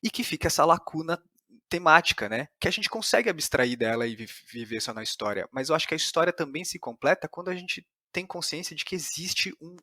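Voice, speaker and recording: A man's voice: fairly high pitch, expressive, fairly quick, bright, forceful tone, dry recording, clean studio sound. A man with a Brazilian Portuguese accent interviewing on a podcast, analytical and discursive, often questioning his own phrasing.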